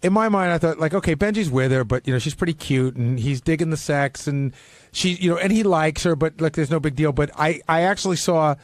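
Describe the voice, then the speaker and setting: Fast-talking man, probing radio interviewer. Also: on the high side, no echo. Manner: probing, challenging questioner. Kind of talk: casual studio discussion.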